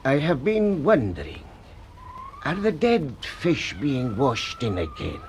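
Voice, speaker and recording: A pensive, probing character in a radio play. He speaks very slowly and deliberately, with a strikingly wide swing in pitch over faint noise. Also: wildly swooping pitch, a little mellow, exaggerated voice. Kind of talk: radio drama acting.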